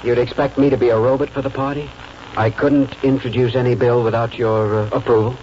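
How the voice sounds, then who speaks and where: A man's voice: mid-range voice, flat delivery, a little mellow, a little hiss or hum, natural voice. Man playing a principled lawyer, muffled old radio. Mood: quietly firm. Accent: American. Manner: earnest, measured, oratorical. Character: principled, idealistic small-town lawyer with quiet conviction. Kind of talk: 1940s radio acting and first-person narration. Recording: muffled old radio broadcast, music behind.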